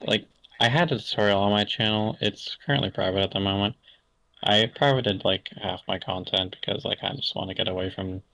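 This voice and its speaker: A young Canadian man with a low, gravelly voice full of vocal fry, speaking casually as a podcast guest. He rambles and hesitates, restarting his sentences and leaning on filler words. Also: steady tone, fairly quick, sharp tone, dry recording. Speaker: interview guest.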